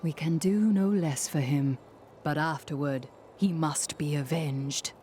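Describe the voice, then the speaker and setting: A woman's voice: deep voice, unhurried, music in the background. A woman playing a stern, resolute warrior hero in a video game.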